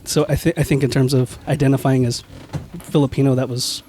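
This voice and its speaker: A man from Washington State, a podcast guest, speaking in a measured, hesitant way, with many restarts and "you know". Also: mid-range voice, fairly even intonation, warm tone, slight background noise. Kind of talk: casual panel chat.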